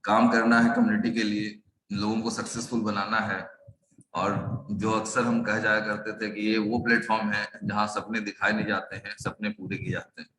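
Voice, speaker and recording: Hoarse, low-voiced man in a roomy call. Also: little pitch movement, moderate pace, a bit bright. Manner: rousing, slogan-like phrasing mixing in English words. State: hoarse throat. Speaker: guest speaker, network marketing leader. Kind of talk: motivational talk on a video call. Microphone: video call microphone. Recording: roomy video call audio with faint noise.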